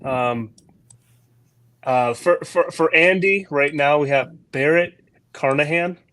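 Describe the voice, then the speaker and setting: A man guesting on a casual podcast, speaking hesitantly with many pauses and restarts. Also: a little high, bright, forceful tone. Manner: hesitant, full of ums and restarts. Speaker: guest comic creator. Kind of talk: casual interview chat.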